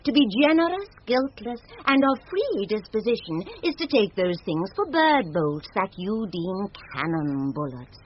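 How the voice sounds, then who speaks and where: A woman's voice: mid-range voice, big pitch swings, a little slow, few pauses. An actress playing a commanding noblewoman in mourning in radio Shakespeare, with stately theatrical diction. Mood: reproving. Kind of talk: 1970s radio Shakespeare acting. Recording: dull, hissy vintage radio recording.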